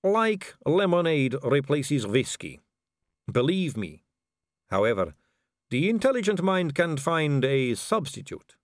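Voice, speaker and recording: A man voicing a suave, poised aristocratic female vampire, speaking slowly and silkily. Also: fairly high pitch, big pitch swings, dry recording, clean studio sound, voice pushed up. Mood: silky. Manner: slow, silky, languid delivery.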